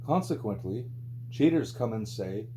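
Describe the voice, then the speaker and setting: A man reading a spiritual book aloud as scripted audiobook narration, with a mellow voice. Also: lively intonation, measured pace. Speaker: audiobook reader. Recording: low hum and background noise.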